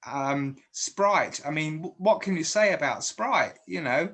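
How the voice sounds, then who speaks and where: A man's voice: on the high side, animated, unhurried, fairly bright tone. A man from Guernsey with a mixed Channel Islands and South Yorkshire accent, chatting casually as an interviewer and often restarting his sentences. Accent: British English mixing Channel Islands and South Yorkshire. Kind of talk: casual video chat.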